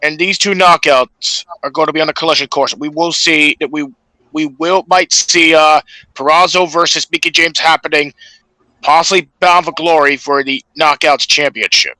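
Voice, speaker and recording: A man co-hosting a podcast, recapping wrestling matches casually in a halting, stop-and-start delivery. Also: on the high side, steady tone, sharp tone, dry recording, poor audio. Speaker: podcast co-host.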